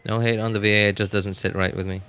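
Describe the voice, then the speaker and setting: A man chatting casually as a live game streamer, rambling and halting as he often repeats himself. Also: fairly low pitch, little pitch movement, bright, forceful tone, faint music behind.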